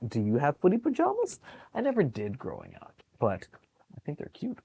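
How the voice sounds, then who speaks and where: Animated man reading bedtime stories to children. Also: on the high side, moderate pace, creaky, slightly rough. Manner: animated, encouraging, explains pictures to young listeners. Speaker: children's storytime reader at a public library. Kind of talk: expressive picture book read-aloud with chatty asides to young children.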